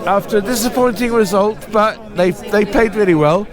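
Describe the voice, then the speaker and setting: Guy with a highish voice doing a street interview outside a pub, friendly, lots of restarts, crowd noisy behind. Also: normal speed. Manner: friendly, hesitant, with many restarts. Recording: loud crowd outdoors.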